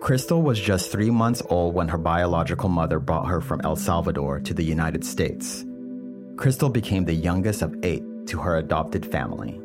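Man, podcast host, very low voice, scripted narration, earnest, faint music behind. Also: lively intonation, moderate pace.

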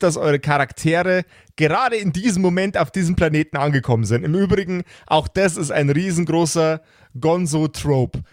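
Emphatic man, slightly higher voice. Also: no room sound, studio quality. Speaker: podcast host and game master. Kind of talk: casual group discussion of a role-play campaign.

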